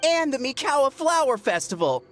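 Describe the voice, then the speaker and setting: A woman's voice: high voice, sing-song, moderate pace, soft background music. A woman voicing a bratty, bossy little yokai child with an indignant, childlike delivery in an anime game.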